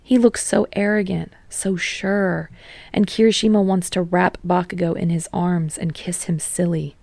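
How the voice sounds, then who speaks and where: Female audiobook narrator, slightly low pitch, creaky, expressive story reading, dry close recording. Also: moderate pace, dry recording. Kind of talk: expressive scripted fiction narration.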